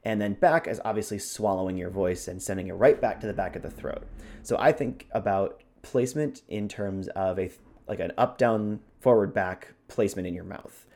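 A man with a fairly low voice speaks as a podcast co-host. He explains technique in a conversational, instructive style. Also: moderate pace, a little mellow. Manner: demonstrates points with his own voice. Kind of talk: explanatory podcast talk on voice acting.

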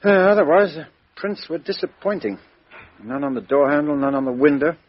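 Old radio: a businesslike police inspector, husky mid voice, muffled broadcast. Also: male voice, on the high side, lively intonation, brisk. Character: brisk middle-aged male police inspector. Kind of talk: 1950s radio drama acting.

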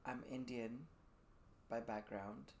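A man in his thirties from Dubai, a podcast guest telling his personal story reflectively in thoughtful, measured phrases. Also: medium pitch, level tone, creaky, a little mellow. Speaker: podcast guest, performing artist. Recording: faint room noise behind the voice.

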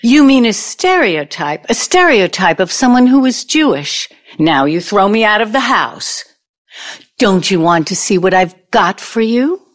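A woman's voice: medium pitch, big pitch swings. A woman in an audio play acting a vengeful, older ex-girlfriend who needles and tells her story theatrically. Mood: earnest, bitter. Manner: deliberate, taunting, storytelling delivery.

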